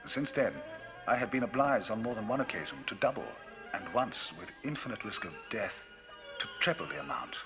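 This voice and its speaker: A man giving a dramatic, theatrical reading of a classic novel as the narrator of a vinyl audiobook, his pitch and loudness rising and falling widely. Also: animated, brisk, poor audio. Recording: vinyl audiobook with music bed. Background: music.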